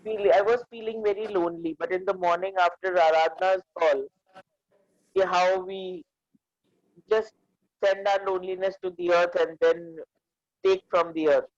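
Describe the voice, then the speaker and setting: A woman's voice: fairly low pitch, fairly even intonation, moderate pace, pauses often, a little mellow, dry recording. A middle-aged woman with an Indian accent, telling a personal story in mixed English and Hindi on slightly dull online call audio. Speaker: participant in an online group discussion.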